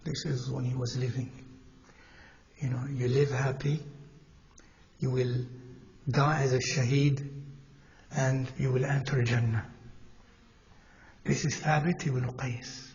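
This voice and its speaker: A man teaching a congregation in a mosque, speaking in a measured, halting way with pauses and self-corrections, and putting questions to his listeners. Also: medium pitch, little pitch movement, darkish tone, lo-fi sound. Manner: asks the audience questions as he teaches. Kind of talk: Islamic lecture to a congregation. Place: mosque hall.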